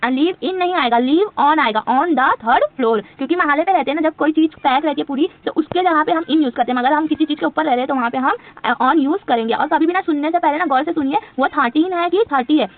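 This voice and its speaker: A woman teaching spoken English, asking quiz questions and answering them herself, and drawing out her English example sentences slowly. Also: sits high, phone-quality sound. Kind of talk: spoken English lesson for Hindi speakers.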